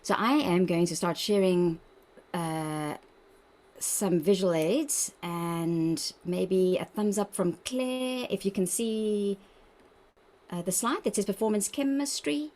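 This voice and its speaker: A woman's voice: medium pitch, slow. South African woman leading a video workshop. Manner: warm, encouraging, chatty with laughs. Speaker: workshop presenter, registered dietitian. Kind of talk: online wellbeing workshop. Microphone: video call.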